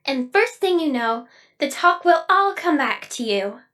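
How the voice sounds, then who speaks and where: A woman's voice: high-pitched, expressive, unhurried, a bit bright, pitched above the performer's own voice. A woman voicing a plucky, kindly little girl with an earnest, childlike manner in a storybook. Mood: encouraging. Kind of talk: dramatic storybook reading.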